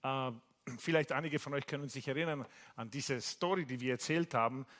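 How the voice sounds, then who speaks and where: A male pastor from Italy preaching in German with an Italian accent, telling a story in a lively way and jumping much higher in pitch when he quotes people. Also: fairly quick. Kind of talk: sermon with anecdote. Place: church service.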